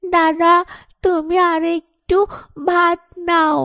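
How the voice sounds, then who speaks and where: A woman's voice: very high voice, level tone, slow, bright, forceful tone, no echo, studio quality, heavily acted. A woman voicing an innocent, caring orphaned little girl in a cartoon, with a childlike, pleading way of talking. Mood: sad, pleading. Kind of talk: cartoon voice acting.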